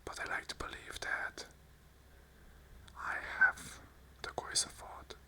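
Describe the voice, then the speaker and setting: An ASMR host whispering an unscripted monologue of earnest, repeated affirmations in short phrases. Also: slow pace. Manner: whispers the whole way, soft and unvoiced.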